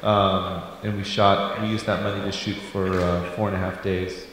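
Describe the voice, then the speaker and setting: A man with a fairly low voice talks loosely about making his film, full of ums and small jokes. His words ring on in a big reverberant hall. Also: level tone, moderate pace, a bit bright. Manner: jokey, rambling with many fillers. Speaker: filmmaker. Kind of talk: post-premiere panel talk.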